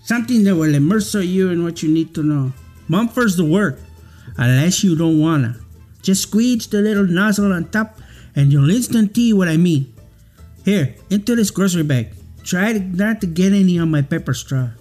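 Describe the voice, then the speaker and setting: A man's voice: high voice, lively intonation, moderate pace, darkish tone. A man playing a shady, conspiratorial club insider peddling secrets in slangy talk in a radio comedy. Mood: sly, scornful. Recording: music behind the voice.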